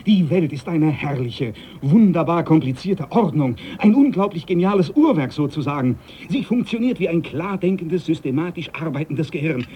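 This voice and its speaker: An actor playing a devout, domineering sea captain in a German radio drama, speaking in an emphatic, preachy manner. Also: male voice, fairly high pitch, expressive, moderate pace, mellow, a little hiss or hum, lightly acted. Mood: fervent, alarmed. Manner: emphatic, preachy, scripture-quoting.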